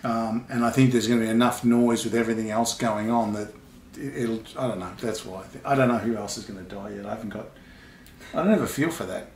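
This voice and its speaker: A man's voice: lowish voice, fast talker, a little mellow, slight background noise. A middle-aged man casually discussing books, explaining his opinions. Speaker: father reviewing books with daughter. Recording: echoey room.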